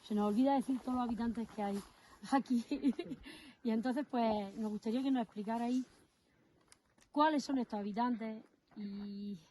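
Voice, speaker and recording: A woman with a slightly high voice presents an outdoor interview in the countryside. She talks in a lively, inviting way as she brings in her guests. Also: moderate pace, rough recording. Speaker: host.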